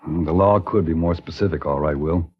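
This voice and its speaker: A kindly small-town minister weighs his words carefully. His voice is low and husky but lively in pitch. It comes through a muffled, lo-fi old radio broadcast. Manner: measured, reflective. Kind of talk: 1950s radio acting.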